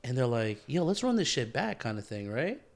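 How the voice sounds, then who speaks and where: Animated, slangy man chatting casually. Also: mid-range voice, brisk. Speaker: podcast co-host. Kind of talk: casual chat.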